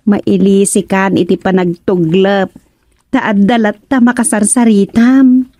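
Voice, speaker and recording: An actress as mellow-voiced teasing village wife. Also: female voice, mid-range voice, fairly even intonation, measured pace, no room sound, clean studio sound, close to an everyday voice. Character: teasing, homely village captain's wife, hospitable. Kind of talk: Ilocano radio comedy drama acting.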